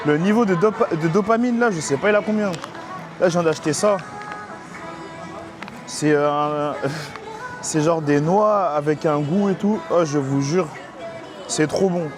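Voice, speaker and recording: A young man from France vlogging his walk through busy city streets, talking to his viewers in excitable, slangy speech. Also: a little high, measured pace, pauses often, crowd noise. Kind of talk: casual travel vlog talk. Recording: music mixed under the voice.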